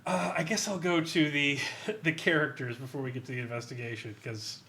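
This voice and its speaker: A man co-hosting a podcast, giving an animated, sweary comedic review of a role-playing adventure, reading bits out and laughing often. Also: fairly high pitch, fairly quick. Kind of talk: casual comedic review with read-out excerpts.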